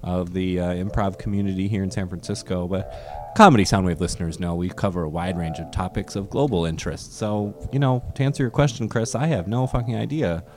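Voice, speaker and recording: A man's voice: low voice, a little fast, few pauses. A man hosting a comedy podcast, dry and mock-polite as he plays the banter straight. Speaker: podcast host.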